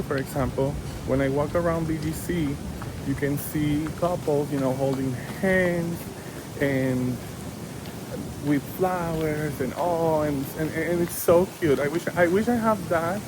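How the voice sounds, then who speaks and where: Un hombre joven de Venezuela, con acento venezolano, charla de forma franca y relajada mientras camina al aire libre y se corrige a menudo. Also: male voice, a little high, steady tone, a little slow. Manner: chatty and candid, with frequent restarts. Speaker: vlogger. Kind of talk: casual vlog chat. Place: outdoors on a walk. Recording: outdoor noise with passing traffic.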